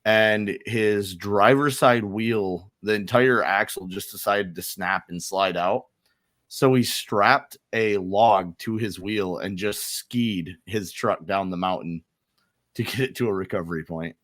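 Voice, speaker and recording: A man with an American accent and a somewhat deep pitch tells anecdotes in a relaxed conversational style. He is informal and slangy and swears now and then. The recording is dry, without audible room sound. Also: takes it slowly, no echo. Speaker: podcast co-host. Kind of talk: casual anecdotal storytelling.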